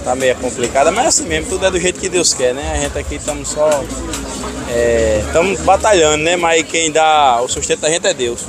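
A man's voice: a little high, fairly quick, music in the background. A man in his thirties with a Northeastern Brazilian accent, a market vendor chatting in a joking, familiar way. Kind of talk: casual chat. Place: outdoor market stall. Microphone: reporter's handheld mic. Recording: busy outdoor market crowd noise.

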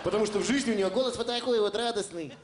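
A middle-aged man with a high voice talks quickly into a stage microphone. He explains and banters with a mock-serious comic air, with faint studio noise behind him. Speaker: TV comedy show co-host. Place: television studio stage with audience. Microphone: handheld microphone.

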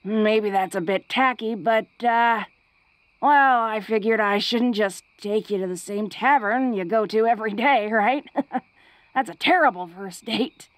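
A woman's voice: fairly high pitch, unhurried, no echo, close to an everyday voice. A woman playing a tough, flirty, secretly awkward female orc in an intimate romantic audio roleplay, speaking in a hesitant, folksy, teasing way.

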